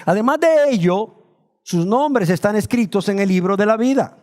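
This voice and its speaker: Male preacher, high voice, rhetorical questions, drawn-out emphasis. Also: expressive, a little mellow. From Nicaragua. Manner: rhetorical questions, slows to stress key words. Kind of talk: sermon.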